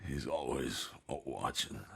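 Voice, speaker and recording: An actor playing a bitter, defeated biker gang leader in a video game, with a gravelly rasp and a weary, sardonic delivery. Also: male voice, a bass voice, takes it slowly, a bit bright, full character voice. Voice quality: gravelly, raspy.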